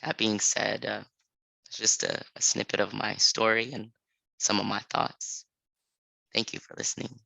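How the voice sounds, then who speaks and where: Gravelly-voiced man, calm and measured. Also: lowish voice, no room sound, poor audio. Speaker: panelist. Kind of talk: reading a personal story. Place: library event room.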